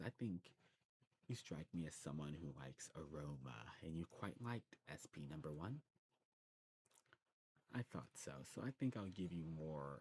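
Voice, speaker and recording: A man playing a courteous old demon shopkeeper in an ASMR roleplay, talking to the listener in a genteel way and musing with hums and pauses. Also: low voice, darkish tone, breathy edge, exaggerated voice.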